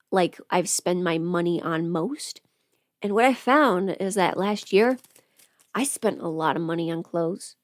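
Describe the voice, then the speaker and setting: A woman's voice: fairly low pitch, no room sound, clean studio sound. A young woman chatting casually as a livestream host, informal and talkative, often putting rhetorical questions to her listeners. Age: young adult.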